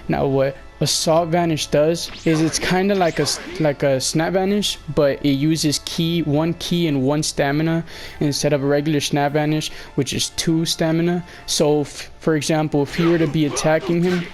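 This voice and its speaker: A man's voice: a little high, steady tone, moderate pace, few pauses, faint music behind. A young man with a slightly sick, fading voice, casually talking over gameplay, rambling and hesitant with many fillers. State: slightly sick, losing his voice. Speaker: gameplay commentator. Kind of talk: casual game walkthrough voice-over.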